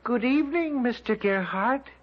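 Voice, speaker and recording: A man playing the gentle, wistful, insistent ghost of a shopkeeper in a radio drama, polite and plaintive. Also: very high voice, lively intonation, moderate pace. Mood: mild, hurt. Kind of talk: old-time radio drama acting. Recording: noisy lo-fi radio recording.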